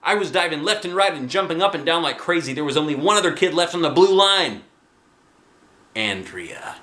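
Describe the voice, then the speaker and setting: A man narrating a children's book aloud with an expressive, dramatic delivery. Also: a little high, normal speed. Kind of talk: animated children's storybook reading. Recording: echoey room.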